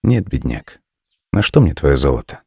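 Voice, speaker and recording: A man voicing a wise, forgiving female snake in a folk tale, with measured, counselling delivery. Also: very deep voice, sing-song, vocal fry, darkish tone, husky, dry recording, heavily acted. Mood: calm, wise.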